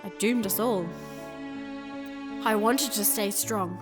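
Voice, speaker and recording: A woman's voice: medium pitch, slow, lightly acted. A woman playing an earnest, burdened young princess in a fantasy audio drama, both speaking and narrating. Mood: sombre narration. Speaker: character and narrator. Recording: loud music behind.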